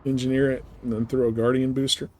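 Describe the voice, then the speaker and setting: A man's voice: mid-range voice, little pitch movement, fast talker, darkish tone. A man hosting a live game stream, chatting casually with viewers and explaining things in colloquial language. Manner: colloquial, explanatory, giving advice. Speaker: livestream host.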